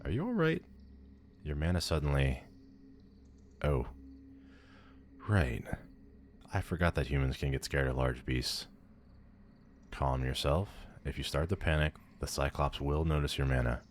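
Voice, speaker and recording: A man's voice: extremely low pitch, animated, unhurried, pauses often, a little hiss or hum. A man playing a powerful demon lord in an ASMR audio roleplay, pompous, condescending and theatrical. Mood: concerned, awkward. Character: haughty, powerful male demon lord, bored and amused.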